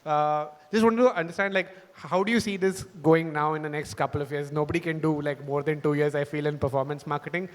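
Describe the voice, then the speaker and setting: A man on stage at an event hall who asks his guest questions in a loose, casual way. His slightly high voice rings with the long reverb of the room. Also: fairly even intonation, brisk, hardly any pauses. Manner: casual, filler-heavy questions. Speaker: startup presenter. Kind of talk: onstage interview.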